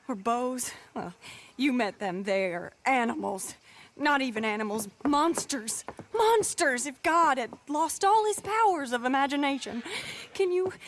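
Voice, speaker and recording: An actress playing a passionate, sardonic young Southern belle with a genteel American South accent in a video game. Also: female voice, sits high, a little hiss or hum. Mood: urgent, sardonic. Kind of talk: video game cutscene acting.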